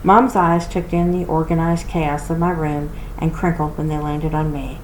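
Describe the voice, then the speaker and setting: A woman giving an expressive storybook read-aloud, like a children's library reader. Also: low voice, moderate pace. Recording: roomy echo with clear background noise.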